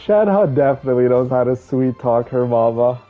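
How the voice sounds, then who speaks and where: Streamer talking casually to chat, mid-range voice, drawn out and hesitant, bit muffled, game music quietly underneath. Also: medium pitch, animated, normal speed. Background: game music and dialogue.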